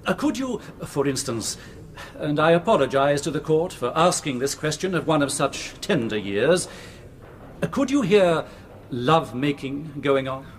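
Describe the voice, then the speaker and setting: Casting: pompous, insistent period prosecutor, radio drama, very animated delivery, slightly high voice. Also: male voice, moderate pace, a little hiss or hum. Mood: probing. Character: forceful, theatrical male prosecuting barrister.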